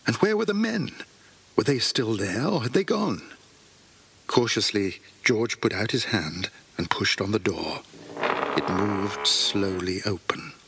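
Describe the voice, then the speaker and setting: A man narrating a children's adventure story in a scripted, suspenseful and deliberate way. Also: on the low side, lively intonation, a bit bright, slight background noise. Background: music under the voice.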